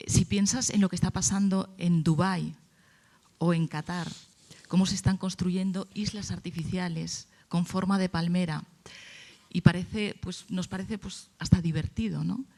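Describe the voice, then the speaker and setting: A woman's voice: on the low side, fairly even intonation, a little slow, warm tone. A woman with a Spain Spanish accent giving emphatic, argumentative opinions in a panel discussion, often posing questions and answering them. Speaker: panelist at a congress.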